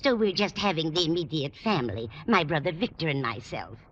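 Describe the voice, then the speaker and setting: A woman's voice: deep voice, lively intonation, a little fast, breathy edge, lo-fi sound. An actress playing a kindly, scatterbrained, chatty landlady in a 1950s radio sitcom. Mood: fond, chatty.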